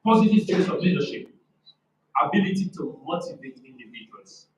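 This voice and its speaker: A man with a fairly high, loud voice addresses a conference as a motivational speaker. He is emphatic and instructive, restating his points and posing rhetorical questions. The sound is roomy and echoing, with the microphone set well away from him. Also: lively intonation, a little fast, a little hiss or hum. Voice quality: loud, naturally forceful voice. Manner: emphatic and didactic, repeats key phrases. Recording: echoey room, distant microphone.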